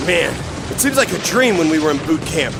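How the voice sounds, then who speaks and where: An actor in an amateur war audio drama playing a wry, grumbling corporal. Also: male voice, fairly high pitch, sing-song, brisk, vocal fry, over music. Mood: wry, weary. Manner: wry complaining.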